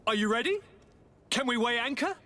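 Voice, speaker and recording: A man's voice: very high-pitched, animated, moderate pace, sharp tone, full character voice. An actor playing an exuberant, pompous young nobleman in a video game cutscene, genteel and enthusiastic, with music behind him. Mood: eager.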